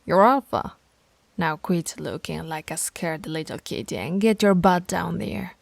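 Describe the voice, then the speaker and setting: A woman's voice: fairly low pitch, gravelly, darkish tone, no echo, heavily acted. A woman voicing a stern, teasing alpha werewolf pack leader in an audio roleplay, authoritative and taunting. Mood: teasing, predatory.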